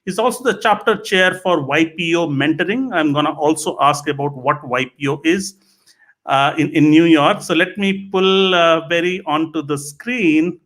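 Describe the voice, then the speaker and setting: A man hosting a live interview podcast, speaking in a halting way and repeating his phrases. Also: a little high, a bit bright. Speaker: podcast host.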